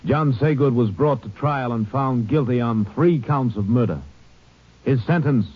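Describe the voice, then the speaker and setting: A man's voice: medium pitch, unhurried, breathy edge, soft background music. A man reading a solemn, scripted announcement in 1950s radio style. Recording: muffled old radio recording.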